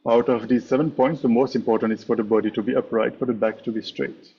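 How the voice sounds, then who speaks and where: A man leading a guided meditation as a teacher, speaking in measured, instructive short phrases with pauses. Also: mid-range voice, steady tone, husky, muffled recording. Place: online meditation session over video call.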